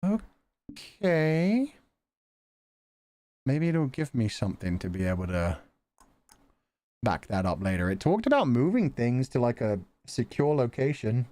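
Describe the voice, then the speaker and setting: Animated, wry male livestreamer. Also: medium pitch, a little slow, long pauses, no echo. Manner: wry, sarcastic, swears casually. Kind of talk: casual livestream chat with viewers.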